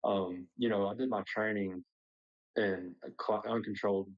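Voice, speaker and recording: Man, deep-ish voice, slightly dull lo-fi audio, casual storytelling, loose hesitant phrasing. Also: steady tone, moderate pace. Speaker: pilot, discussion participant.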